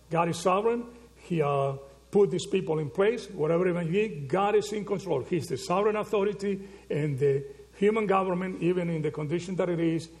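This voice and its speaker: Man, preacher, gravelly voice, slightly high pitch, rhetorical questions, frequent check-ins with listeners. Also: darkish tone. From Argentina. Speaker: pastor. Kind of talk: sermon.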